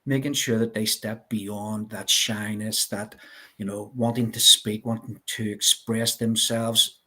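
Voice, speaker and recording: A man's voice: on the low side, sharp tone, breathy edge. A man with a Belfast accent, a life coach guest explaining his ideas earnestly, with many fillers. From Northern Ireland. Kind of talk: interview chat.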